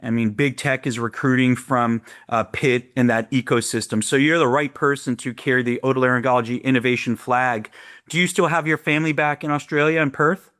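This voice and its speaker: A man's voice: mid-range voice, steady tone, fairly quick, fairly bright tone. A middle-aged man with an American accent, hosting an informal interview in an effusive, conversational manner. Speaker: podcast host.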